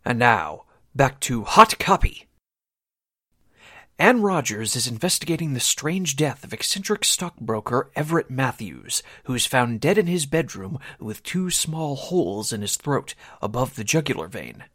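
A man's voice: mid-range voice, big pitch swings, a little slow, fairly bright tone, no echo, studio quality. A man announcing and narrating a 1940s-style radio drama in a formal, dramatic, scripted manner.

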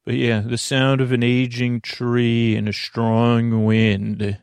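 A man with a creaky, gravelly voice hosting a sleep podcast, meandering and halting through tangents and filler words. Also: medium pitch, completely flat delivery, a little mellow, dry recording, studio quality. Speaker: podcast host. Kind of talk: rambling bedtime sleep podcast monologue.

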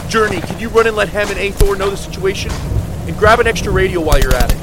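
A man's voice: high-pitched, fast, background noise, natural voice. An actor playing a tense man giving orders as lookout in a zombie audio drama. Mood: tense, commanding.

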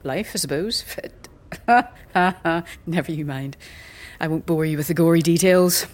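An actress playing a very old, weary and sardonic priestess in a fantasy audio drama, blunt, with archaic slang and wry chuckles. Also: female voice, deep voice, measured pace, darkish tone. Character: very old striking woman, sardonic, weary, doubting death-cult priestess.

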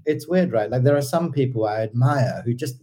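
A man's voice: medium pitch, fairly quick, mellow. A man, talking as an interview guest in a rambling, hesitant way with many fillers. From Sri Lanka. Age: 50s. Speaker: guest entrepreneur. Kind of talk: casual interview talk.

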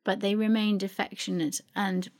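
A woman presenting a narrated talk on art history, explaining in a measured, informative way. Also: medium pitch, little pitch movement. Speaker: speaker presenting a family archive.

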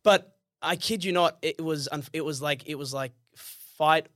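A young man tells stories in a loose, slangy, animated way, often acting out what people said. His voice sits a bit high for a man. The sound is dry and close, with no room echo. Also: a little slow. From Australia. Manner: animated, slangy, acts out quotes. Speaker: podcast guest. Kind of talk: casual storytelling chat.

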